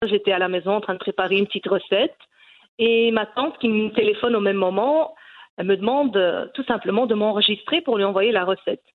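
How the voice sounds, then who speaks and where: A woman's voice: mid-range voice, fairly quick, narrow, tinny audio. A woman in her forties from Belgium, a cooking YouTuber answering interview questions earnestly, with many hesitations. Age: 40s.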